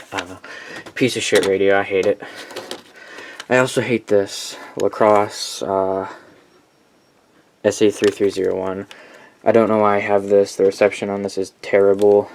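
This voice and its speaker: Guy with kinda low voice doing a casual collection video, rambly and blunt, corrects himself, noticeable noise in the background. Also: flat delivery, normal speed, long pauses, a little mellow. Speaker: collector presenting weather radios. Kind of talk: casual unscripted showcase vlog.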